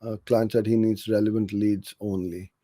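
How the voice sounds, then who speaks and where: A man's voice: lowish voice, little pitch movement, normal speed, mellow, no room sound, studio quality. A man coaching in an explanatory, off-the-cuff way, talking through practical advice with examples. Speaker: digital marketing coach answering a question.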